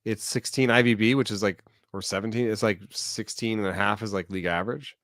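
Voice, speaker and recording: A man who is a recurring guest on a podcast, thinking aloud through pitching numbers with dry humor. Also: steady tone, a little fast, a bit bright, no echo. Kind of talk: casual baseball analysis chat.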